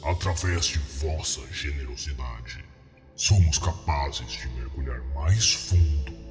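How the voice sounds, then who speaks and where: A man with a Brazilian accent playing a solemn, sinister cult priest, intoning slowly and ceremonially in a breathy voice for a horror story. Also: a bass voice, a little mellow, pitched below the performer's own voice. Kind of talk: scripted horror roleplay monologue. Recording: echoing, with music underneath. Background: music.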